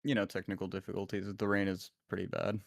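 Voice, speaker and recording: A man's voice: low-pitched, flat delivery, fast talker, vocal fry, dry recording, studio quality. A young man co-hosting a casual gaming podcast, playful and teasing, with casual slang. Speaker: podcast co-host.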